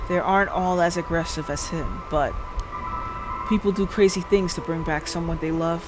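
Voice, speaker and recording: A game streamer voicing a calm, world-weary police boss in a quiet, measured, dry manner. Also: mid-range voice, background noise, pitched below the performer's own voice, lightly acted.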